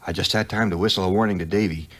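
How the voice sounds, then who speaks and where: A man's voice: sits low, rapid-fire, close to an everyday voice. A man playing a folksy frontier sidekick, telling his adventures with dry humor in a 1950s audio adventure. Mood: tense. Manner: folksy, dryly humorous, animated. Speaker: narrator. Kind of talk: scripted first-person storytelling, 1950s audio adventure. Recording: 1950s record, music behind.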